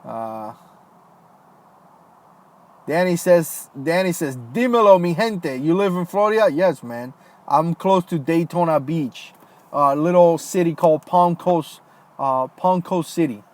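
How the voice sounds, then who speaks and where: Chatty former Marine livestream host. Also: male voice, on the high side, expressive, a little slow. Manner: loose, friendly, lots of fillers and "man", "dude". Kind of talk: casual livestream chat reading comments. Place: home, Florida.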